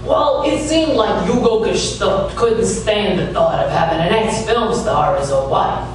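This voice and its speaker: A man playing a world-weary, wisecracking private detective in a deadpan 1940s radio noir style. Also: high-pitched, unhurried, a little hiss or hum. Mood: explaining, wry. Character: wry, world-weary male private detective narrating his case. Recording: roomy, distant stage recording with music.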